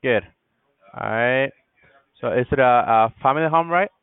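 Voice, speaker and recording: A man's voice: medium pitch, moderate pace, gravelly, clear, resonant tone, no room sound. A man calling from a roofing company, asking questions in a hesitant way and often repeating himself. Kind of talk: phone sales call. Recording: tinny phone line.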